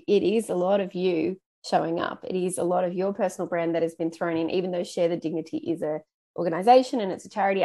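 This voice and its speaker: A woman's voice: on the low side, a little mellow. A woman hosting an interview, who asks long questions while she searches for words, with many fillers. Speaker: interviewer, host. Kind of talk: warm interview chat.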